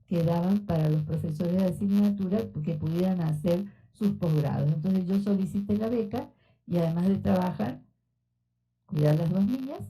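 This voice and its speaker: A woman's voice: fairly low pitch, dark tone, low-quality recording. A woman in her sixties from Argentina, whose accent mixes Argentine and Mexican Spanish, giving an informal, conversational lecture in a university auditorium with frequent fillers. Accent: Argentine Spanish with Mexican influence. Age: 60s. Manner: unhurried, conversational, many fillers. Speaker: researcher giving a talk.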